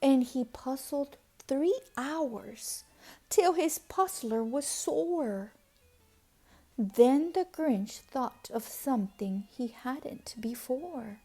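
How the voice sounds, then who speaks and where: A woman narrating a children's storybook aloud in an expressive, dramatic way, at times hushed to a whisper. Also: high voice, very slow, breathy edge. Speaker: storybook reader.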